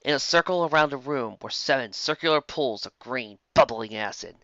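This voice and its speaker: Bright, forceful male story narrator. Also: mid-range voice, moderate pace, no room sound, dull audio. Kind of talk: dramatic scripted narration.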